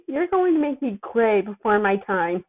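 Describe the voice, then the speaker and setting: A woman's voice: mid-range voice, sing-song, moderate pace. A woman voicing a worried, fatherly man who coaxes and soothes a child with weary exasperation. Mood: gentle, coaxing. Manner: gentle coaxing, exasperated. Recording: thin phone-like sound.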